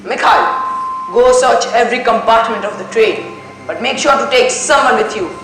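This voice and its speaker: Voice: mid-range voice, moderate pace, close to an everyday voice. A young student in a school play acting a composed, formal detective who takes charge of questioning passengers. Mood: composed, commanding. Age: child or teenager. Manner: formal, authoritative. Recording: echoey stage, loud music behind.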